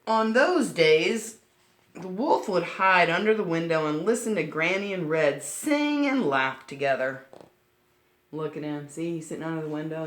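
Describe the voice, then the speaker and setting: Female storytime reader, lowish pitch with lively swings, speaking to young children in an echoey room. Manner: simple, child-directed explaining. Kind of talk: expressive picture-book read-aloud with asides to children. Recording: roomy, echoing sound.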